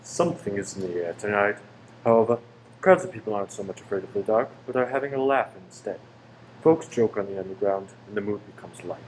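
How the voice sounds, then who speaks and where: A man's voice: fairly low pitch, quick pace, a little hiss or hum, lightly acted, rough recording. A man narrating scenes in a radio play with scripted, reporter-like delivery. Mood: atmospheric.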